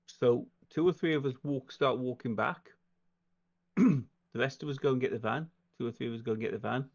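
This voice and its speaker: A man's voice: mid-range voice, normal speed, darkish tone, highs cut off. A man playing a tabletop game, taking charge and proposing plans in casual chat.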